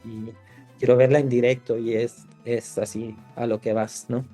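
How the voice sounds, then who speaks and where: Screenwriter guest from Italy, male, relaxed dark timbre, reflective with restarts, faint music bed. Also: medium pitch, moderate pace. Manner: thoughtful, many restarts and fillers. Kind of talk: podcast interview.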